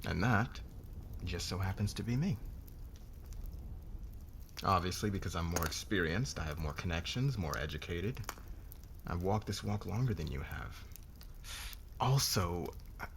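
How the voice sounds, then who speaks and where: A man playing a haughty, domineering businessman boss in an intimate ASMR roleplay, speaking in a measured, condescending way. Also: on the low side, creaky, a little hiss or hum, exaggerated voice. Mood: smug, condescending.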